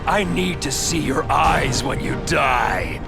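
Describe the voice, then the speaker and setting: An actor playing a sadistic, gleeful killer villain in a video game, with a taunting, theatrical delivery. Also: male voice, a little high, lively intonation, slow pace, gravelly, loud music behind the voice, full character voice. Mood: menacing, taunting. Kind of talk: video game cutscene acting.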